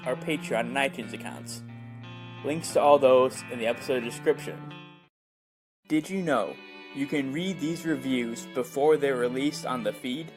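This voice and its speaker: Man narrating a scripted review over music. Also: mid-range voice. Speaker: reviewer and podcast host.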